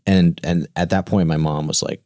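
A man's voice: sits low, no echo, clean studio sound. A man in his thirties, a founder being interviewed, talking casually with many restarts and fillers, whose first language is Gujarati. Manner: halting, many restarts and fillers, animated quoting. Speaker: startup founder, guest.

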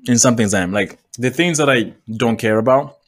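A man's voice: medium pitch, a little fast, dry recording, clean studio sound. A man talking as a podcast guest in a laid-back, loose and joking way. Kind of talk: casual conversation.

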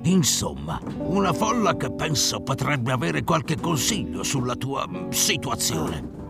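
Man acting a slow, gravelly veteran ship captain. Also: mid-range voice, huge pitch swings, exaggerated voice. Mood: grave, wry. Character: gruff proud veteran ship captain and hunter. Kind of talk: fantasy audio drama acting. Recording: loud music behind.

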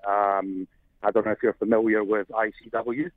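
A man's voice: lowish voice, little pitch movement, normal speed, no echo. Scottish man calling in over the phone. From Scotland. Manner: friendly, hesitant, many fillers. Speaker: caller. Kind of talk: phone-in call to a talk show. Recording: tinny phone line.

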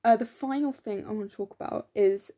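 Young woman, podcast co-host, chatty, enthusiastic, slightly croaky, phone recording in a bedroom. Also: medium pitch, a little fast, dark tone. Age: young adult. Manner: chatty, enthusiastic, many fillers. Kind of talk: casual chat. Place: her room at home.

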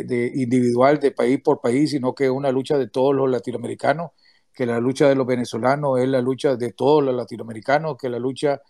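A man giving closing remarks on a remote audio call, speaking in a halting way with many restarts and hesitations. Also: mid-range voice, warm tone, no room sound, clean studio sound. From Nicaragua. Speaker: activist speaker, former political prisoner. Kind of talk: closing remarks in an online audio chat room.

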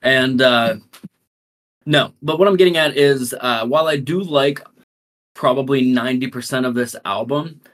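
A man's voice: mid-range voice, moderate pace, fairly bright tone. A man co-hosting a casual music podcast, talking in a rambling, hesitant way with many restarts. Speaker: podcast co-host. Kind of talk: casual chat.

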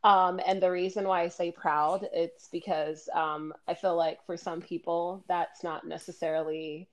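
A woman's voice: fairly low pitch, level tone, measured pace. A young American woman in a casual podcast chat, earnest and full of fillers. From United States. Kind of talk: casual group discussion.

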